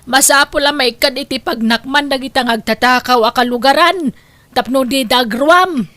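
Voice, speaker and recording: A woman's voice: high-pitched, moderate pace, bright, forceful tone, dry recording. A woman playing a sensible, outspoken neighbour who argues back in a comedic drama. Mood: argumentative. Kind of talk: comedic radio-style drama acting.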